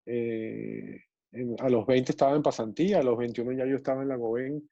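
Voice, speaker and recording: A man's voice: mid-range voice, vocal fry, no room sound. Venezuelan man chatting on a video call. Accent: Venezuelan Spanish. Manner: relaxed, many fillers and pauses. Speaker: guest. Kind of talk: casual storytelling on a video call. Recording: muffled video call audio.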